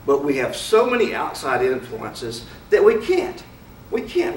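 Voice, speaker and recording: A man with a fairly high voice gives a loose, opinionated lecture and keeps throwing rhetorical questions at the room. He is picked up from a distance, so his voice carries the echo of a large lecture room. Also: slight background noise. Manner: conversational, asks many rhetorical questions; opinionated, speaks frankly. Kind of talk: informal lecture to an audience. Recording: roomy hall, mic far off.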